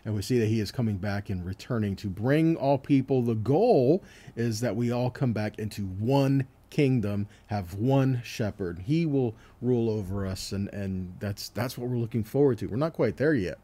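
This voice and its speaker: A man's voice: medium pitch, normal speed, dark tone. A man teaching scripture in a sermon, reading verses aloud and explaining them, often checking in with a short question. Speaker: Bible teacher.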